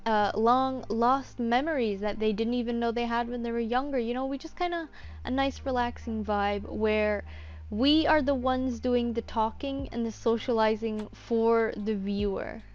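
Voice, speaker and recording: Woman, slightly high voice, informal how-to talk, lo-fi muffled sound. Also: fairly even intonation, normal speed, darkish tone, soft background music. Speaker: voice actor giving a tutorial. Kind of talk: casual unscripted tutorial talk.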